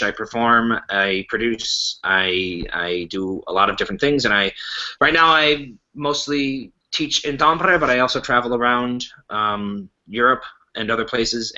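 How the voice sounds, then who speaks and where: A man's voice: lowish voice, fairly even intonation, moderate pace, pushed and bright, highs cut off. A man with an American accent talking casually as an interview guest over an internet call. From United States. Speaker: guest, improv teacher and performer. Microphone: online video call.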